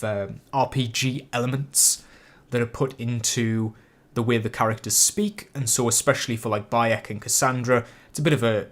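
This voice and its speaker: Man talking through a cold. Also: mid-range voice. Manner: chatty and rambling, with many fillers and restarts. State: has a lingering cold with cough and sniffle. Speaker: YouTube host streaming a live reaction. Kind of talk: casual live-stream chat.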